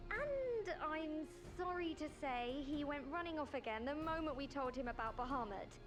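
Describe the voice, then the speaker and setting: A high, swooping female voice for a bubbly game secretary. She is chatty and easily flustered, speaking at an unhurried pace over loud music. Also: animated. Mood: apologetic, upbeat. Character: cheerful, flustered female secretary calling by link device. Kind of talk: video game voice acting. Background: orchestral game music.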